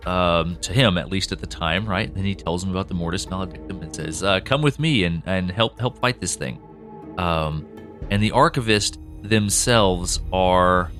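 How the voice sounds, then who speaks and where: A man's voice: low voice, fairly bright tone. A man co-hosting a casual behind-the-scenes podcast chat, talking loosely and laughing often. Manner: chatty, laughs often, acts out quick voices for characters. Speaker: podcast co-host and show creator.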